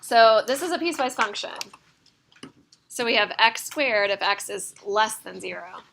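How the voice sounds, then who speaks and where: A woman's voice: mid-range voice, lively intonation, normal speed, gravelly, a bit bright, a little hiss or hum. A woman math teacher lecturing a class step by step, often checking in with the students, her voice a little worn. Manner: explains step by step, checking in with "right?". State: slightly losing her voice.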